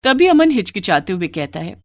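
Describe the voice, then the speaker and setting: A woman storyteller with a medium-pitched voice narrates a moral tale. She reads from a script, telling the story as a narrator. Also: big pitch swings, normal speed.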